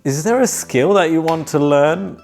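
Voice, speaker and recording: A man's voice: a little high, vocal fry, a little mellow. A young man, a non-native English speaker, giving a scripted video lesson as an aviation English tutor. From moved to Australia as a teenager. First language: not English. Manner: slow, deliberate explaining. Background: faint guitar music.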